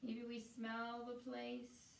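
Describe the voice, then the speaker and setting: A woman guiding a meditation as a teacher, speaking in a soothing, unhurried way with pauses between phrases. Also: on the high side, completely flat delivery, vocal fry, a little hiss or hum. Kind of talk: guided meditation. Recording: roomy echo, distant from the mic.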